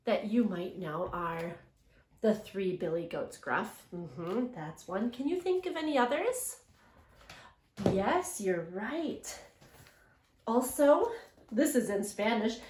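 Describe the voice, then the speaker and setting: A woman teacher leading a read-aloud lesson for young children, asking questions and pausing to affirm their answers. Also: on the high side, darkish tone, slight background noise. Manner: interactive, asks questions and affirms imagined answers. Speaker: elementary English language development teacher. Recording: roomy, echoing sound.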